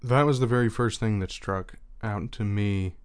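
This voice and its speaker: A young man talking earnestly in a reflective personal monologue, unhurried and thinking aloud as he goes. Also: fairly low pitch, smooth. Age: young adult.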